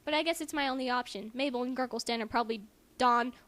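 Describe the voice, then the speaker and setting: A woman's voice: fairly high pitch, fast, a bit bright, lightly acted. A woman voicing a sulky, hesitant cartoon boy in a story reading, earnest and slightly sassy. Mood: doubtful. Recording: noisy amateur home recording.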